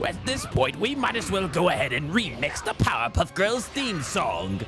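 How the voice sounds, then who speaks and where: A man's voice: on the high side, sing-song. A man voicing a mocking, gloating alien parasite villain, taunting theatrically as he comments on a fighting game. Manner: taunting, theatrical, drawn-out, cackling. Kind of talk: in-character live game commentary and trash talk. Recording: game music loud behind the voice.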